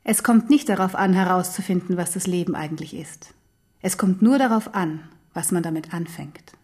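An actress in a German radio drama playing a learned, patient non-human teacher who instructs precisely and firmly. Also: female voice, on the low side, normal speed, a little mellow, close to an everyday voice.

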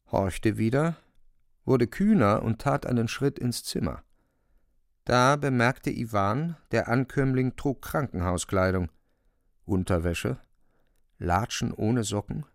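A man's voice: lowish voice, no room sound, clean studio sound. A man narrating a radio drama in a scripted, literary storytelling style.

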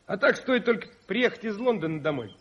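A man's voice: sits high, very animated, fairly quick, a bit bright, breathy edge, full character voice. An actor playing a playful, smitten young man in a radio play, teasing and witty with some hesitation. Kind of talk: 1980s Soviet radio play acting. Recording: muffled 1980s radio drama recording.

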